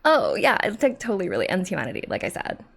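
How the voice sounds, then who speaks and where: A woman's voice: medium pitch, wildly swooping pitch, quick pace, gravelly, fairly bright tone, heavily acted. A woman voicing a playful, chatty male snake in a storybook reading, with a drawling Valley Girl accent full of fillers. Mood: teasing, smug. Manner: drawling, filler-heavy, breezy.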